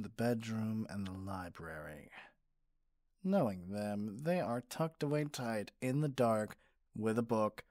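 A man acting in an ASMR roleplay as a gentle, doting caregiver, speaking softly in a soothing, coaxing manner. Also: lowish voice, no echo, clean studio sound. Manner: soft, soothing, playful coaxing. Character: gentle, doting male caregiver comforting a partner.

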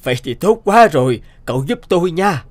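A man's voice: a little high, expressive, brisk, lightly acted. A man playing a kindly, worried older man in a cartoon, earnest and a little hesitant as he seeks help. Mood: relieved. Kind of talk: animated cartoon dialogue.

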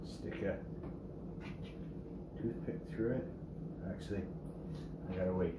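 Low-voiced man cooking in an echoey kitchen. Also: slow pace. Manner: low-key, tired, self-deprecating. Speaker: home cook. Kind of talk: casual cooking demonstration. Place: home kitchen, indoors. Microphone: camera across the room. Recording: echoey kitchen with background noise.

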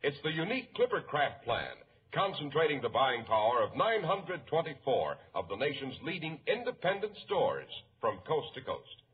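A man's voice: fairly high pitch, very animated, normal speed. A man with an American accent delivering a polished, emphatic 1940s radio commercial as an announcer. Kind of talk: 1940s radio advertising pitch. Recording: muffled 1940s radio recording.

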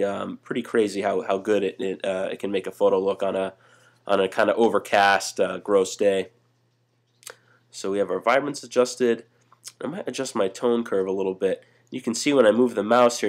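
Young guy, low voice, easygoing tutorial host, lots of fillers. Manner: relaxed, many "uh" fillers and restarts. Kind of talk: casual screen-recorded software walkthrough.

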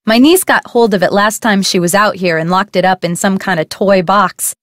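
A woman's voice: medium pitch, moderate pace, fairly bright tone, dry recording, studio quality, lightly acted. An actress playing a friendly young woman who runs a harbor shop in a video game, talking in a breezy way. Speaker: game character.

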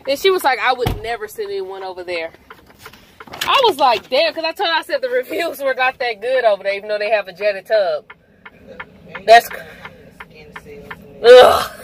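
A young woman truck driver chatting casually to her vlog camera, animated and talkative. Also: fairly high pitch. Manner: chatty, animated, addresses viewers as y'all. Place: hotel and truck cab. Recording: background noise.

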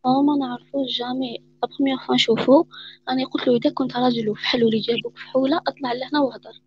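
A woman's voice: high voice, steady tone, very fast. A woman calling into a live stream, speaking defiantly. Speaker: caller. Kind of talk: casual call-in chat. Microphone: phone or video call. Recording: faint breathy lo-fi call audio.

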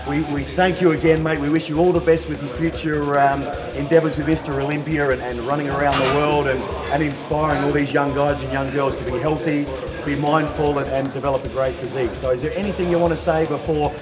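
A man's voice: a little high, fairly even intonation, brisk, few pauses. A man in his thirties with an Australian accent, hosting an instructional fitness interview in a gym. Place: busy indoor gym. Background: music.

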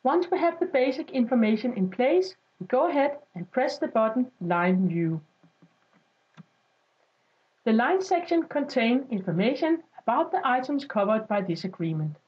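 Female tutorial narrator, notably high voice, scripted instructional read, slightly muffled lo-fi sound. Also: very animated, moderate pace. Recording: dull lo-fi voice-over.

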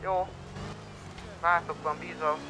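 A man's voice: a little high, creaky, background noise. A man talking casually with teammates in an online game, giving directions and coordinating the group. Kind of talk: casual in-game team chatter.

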